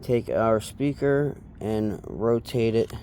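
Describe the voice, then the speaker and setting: A man giving a casual, unscripted hands-on tutorial, speaking haltingly and trailing off as he works with his hands. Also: fairly low pitch, animated, mellow, clean tone. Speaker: DIY installation demonstrator.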